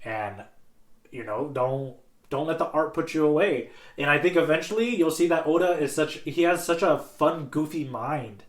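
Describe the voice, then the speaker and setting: Echoey, slightly high-voiced man chatting casually. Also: normal speed. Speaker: podcast co-host. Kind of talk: casual podcast chat. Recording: roomy, echoey sound.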